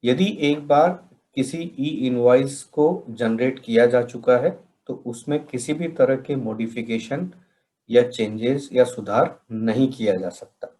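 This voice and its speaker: A man from India presenting a question-and-answer tutorial in a deliberate way, pausing between phrases. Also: medium pitch, mellow, rough recording. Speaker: presenter of a software training session.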